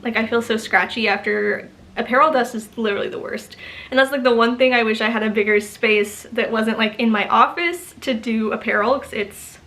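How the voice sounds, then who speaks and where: A woman's voice: fairly high pitch, fairly even intonation, a little fast, fairly bright tone. A young woman talking casually to camera about her small business, rambling with lots of ums, a bit stuffy from allergies. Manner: chatty, rambling, many ums and asides. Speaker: small business owner vlogging. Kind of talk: casual vlog chat to camera. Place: home studio office.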